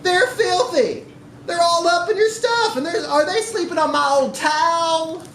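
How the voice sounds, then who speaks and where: A man's voice: extremely high pitch, unhurried, fairly bright tone, voice pushed up, full character voice. A man at a live story reading voicing an irate, scolding, fed-up ex-wife. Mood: disgusted alarm. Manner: scolding, exclamatory, disgusted. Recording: echoey live room recording with background noise.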